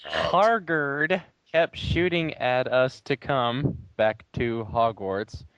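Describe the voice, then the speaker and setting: A man bantering casually and reading fan fiction aloud in a deliberate, wry way. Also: mid-range voice, very animated, fairly bright tone, smooth, dry recording, highs cut off. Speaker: player in a dramatic group reading game.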